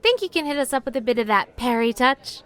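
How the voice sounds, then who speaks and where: A woman's voice: high voice, lively intonation, fast talker, a faint crowd. A woman playing a bubbly, casual young call-centre coworker in a comedic audio drama, chirpy and dramatic.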